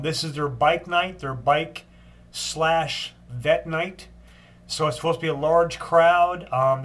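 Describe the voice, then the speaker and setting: Older man, congested from a cold, fairly high voice; plain unhurried diary-style update. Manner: plain, unhurried talk with frequent ums and restarts. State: stuffed up from a cold. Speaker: long-distance walker giving a daily update. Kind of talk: casual video diary.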